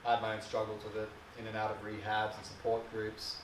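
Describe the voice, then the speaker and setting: A man playing a bitter, wounded son of an alcoholic father in a dramatic monologue, speaking in a brooding, emotional, halting way. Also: fairly low pitch, completely flat delivery, lightly acted. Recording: distant mic, echoey room, faint hiss.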